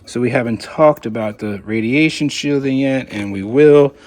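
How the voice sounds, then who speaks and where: A man's voice: medium pitch, normal speed, a little mellow. A man hosting a hands-on electronics video in casual, unscripted commentary, thinking aloud as he inspects and sometimes trailing off. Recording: clear background noise, room ambience.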